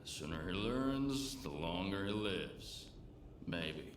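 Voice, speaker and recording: A man playing a world-weary, pragmatic bartender in a lawless zone, with gruff, slangy video game dialogue. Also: deep voice, animated, takes it slowly. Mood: shrewd. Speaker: bartender character. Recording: roomy echo with noisy game ambience.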